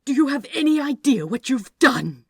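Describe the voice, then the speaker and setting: A woman's voice: high-pitched, very animated, normal speed, a little mellow, no echo, clean studio sound, voice pushed up. Woman voicing a commanding, fussy male angel. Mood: furious, commanding. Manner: commanding, snapping, emphatic. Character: fierce, bluffing male angel, fussy but caring.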